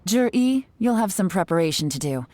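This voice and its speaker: A woman's voice: medium pitch, very animated, a little fast, darkish tone, no room sound, close to an everyday voice. A woman playing a cunning, composed intelligence chief in a video game, speaking with poised assurance. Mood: composed, official.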